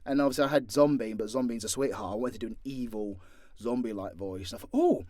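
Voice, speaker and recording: A British man with a mid-pitched voice talks candidly through fan questions. His delivery is casual and unscripted, peppered with swearing and joking asides. The sound is close and dry. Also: animated, fast talker, a little mellow, dry recording. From Britain. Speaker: voice actor and audio channel creator answering fan questions.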